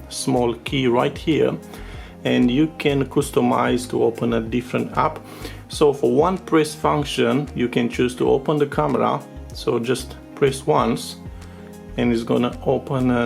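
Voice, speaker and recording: A man, a native Romanian speaker, giving a casual, unscripted product overview in English as a tech reviewer, with halting, filler-filled delivery. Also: mid-range voice, vocal fry. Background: music with guitar behind the voice.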